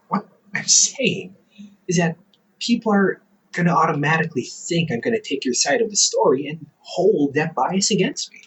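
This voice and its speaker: Audio roleplay: male actor as a young adult older brother and company therapist, teasing, quick to laugh, very lively pitch. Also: rough recording.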